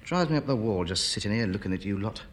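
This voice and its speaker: A man's voice: fairly low pitch, lively intonation, fast, natural voice. An actor playing a bored, grumbling man stuck at home on a dull afternoon, in a 1950s radio sitcom. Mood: weary. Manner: moaning, weary complaining. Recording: hissy old radio broadcast recording.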